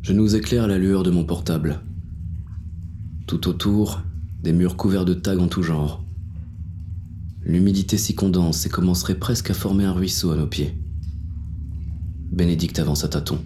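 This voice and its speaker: Very deep, jaded police inspector played by a man. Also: fairly even intonation, moderate pace, long pauses, warm tone, close to an everyday voice. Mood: wary. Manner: world-weary, slangy, blunt. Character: jaded, wary, hard-bitten male police inspector. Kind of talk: first-person noir audio drama narration.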